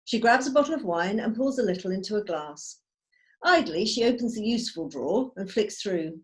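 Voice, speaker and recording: A woman reading out screenplay stage directions as measured, storytelling narration. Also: mid-range voice, animated. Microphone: video call.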